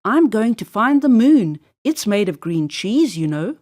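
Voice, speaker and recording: A woman's voice: mid-range voice, very animated, unhurried, a little mellow. A woman voicing an eager, naive little boy mouse from a storybook, earnest, polite and insistent.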